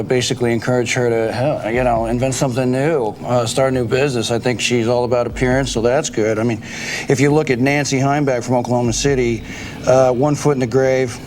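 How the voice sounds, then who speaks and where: A man's voice: mid-range voice, level tone, a little fast, a little mellow, a little hiss or hum, rough recording. A man giving his unscripted opinions as a panelist on a game show. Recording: music behind the talk.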